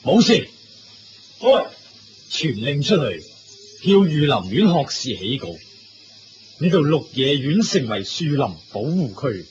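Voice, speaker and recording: A man's voice: a little high, sing-song, slow pace, long pauses, soft background music. A man with a Hong Kong Cantonese accent playing a grave, compassionate hunting king in a Buddhist radio drama. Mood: commanding. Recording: dull lo-fi recording with faint noise.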